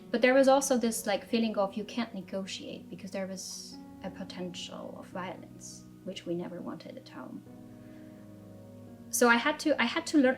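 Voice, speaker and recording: Reflective woman from Armenia. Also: mid-range voice. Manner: measured, reflective, with frequent fillers and restarts. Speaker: interviewee, strategy director. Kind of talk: personal interview, storytelling. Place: office.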